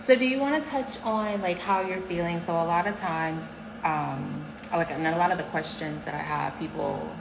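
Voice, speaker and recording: A woman with a very low voice hosts a casual chat, asking loose, rambling questions full of fillers and teasing jabs. She is recorded in an echoey room, and background noise is clearly audible. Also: moderate pace, hardly any pauses. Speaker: podcast host, wife.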